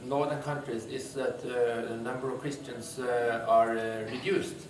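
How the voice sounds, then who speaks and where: A man from a visiting group asking a question hesitantly, with many pauses and fillers. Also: mid-range voice, level tone. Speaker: visiting doctor. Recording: echoey room, distant, hiss.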